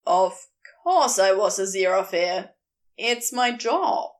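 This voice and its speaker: A woman playing a blunt, sardonic male geologist with a gruff, terse, dry manner in an audio story. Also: medium pitch, lively intonation, takes it slowly, pitched below the performer's own voice. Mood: exasperated, emphatic.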